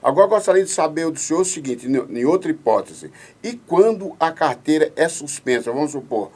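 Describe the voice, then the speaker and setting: A man's voice: a little high, animated, a little fast, mellow. A man hosting a radio show, reading listener questions and politely laying out hypothetical cases. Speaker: radio host.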